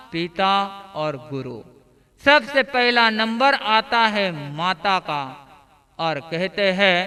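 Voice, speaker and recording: A man's voice: sits high, pushed and bright, clear, resonant tone. A man delivering a devotional sermon as a preacher, in an emphatic, oratorical manner with phrases that swell and fall away. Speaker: religious preacher. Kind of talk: devotional sermon with illustrative stories.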